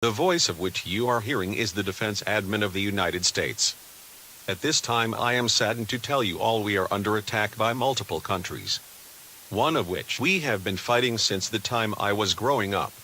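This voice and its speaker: A man's voice: fairly low pitch, pushed and bright, rough recording. A man playing a grave, devout US defense official giving a solemn, formal scripted address to the nation. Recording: hissy background noise.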